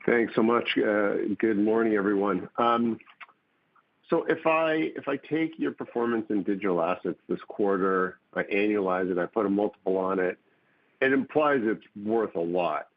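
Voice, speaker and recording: A man's voice: fairly low pitch, lively intonation, normal speed, no echo. A man speaking as an analyst, asking a question over the phone on an earnings call. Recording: thin, dry phone line.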